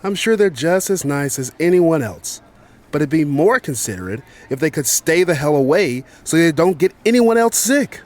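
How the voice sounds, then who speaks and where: A man's voice: fairly high pitch, animated, normal speed, darkish tone, natural voice. A man playing a blunt, prejudiced ordinary guy answering casually in an interview with vivid, informal talk. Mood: defensive, disdainful.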